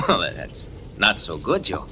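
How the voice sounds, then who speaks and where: An American actor playing a young trapeze flyer and loyal pal, breezy and slangy, in 1940s radio drama style. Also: male voice, sits low, sharp tone, exaggerated voice. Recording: old radio recording, faint noise.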